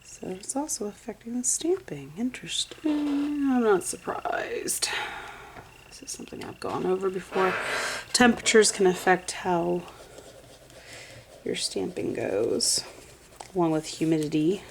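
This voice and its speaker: Congested woman with gravelly voice. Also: mid-range voice, very animated, measured pace, a little hiss or hum. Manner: chatty, often drops to a whisper while working. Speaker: nail art vlogger. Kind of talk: casual chat while swatching polish.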